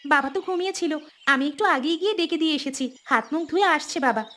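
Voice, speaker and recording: A woman's voice: high-pitched, animated, fast talker, pushed and bright. A woman voicing a sweet, caring young village daughter in a cartoon. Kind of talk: cartoon dialogue. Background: music.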